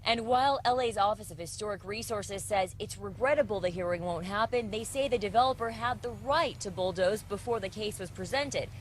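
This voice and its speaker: Female American TV field reporter, average pitch, live news delivery, light outdoor background noise. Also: brisk, hardly any pauses. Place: outdoors on a city street by a demolition site.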